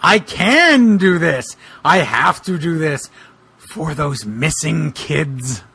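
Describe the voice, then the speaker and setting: Man doing a gravelly, slow, heroic monster wrestler. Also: on the high side, expressive, sharp tone, heavily acted. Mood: determined. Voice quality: gravelly rasp. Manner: slow, overwrought, declamatory. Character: earnest heroic Boogeyman, huge soft-hearted monster wrestler. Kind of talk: mock-dramatic fan fiction reading.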